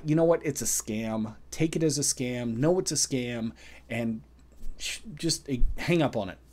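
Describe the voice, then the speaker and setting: A man with a mid-pitched voice hosts a casual advisory vlog. He talks conversationally and directly to the viewer, leaning on key points and repeating them so they stick. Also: a little mellow. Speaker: video host.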